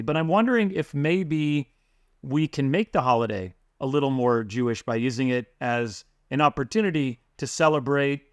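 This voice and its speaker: A man hosting an interview, speaking in a relaxed way and thinking aloud with fillers. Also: mid-range voice, no room sound, clean studio sound. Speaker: podcast host. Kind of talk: conversational interview.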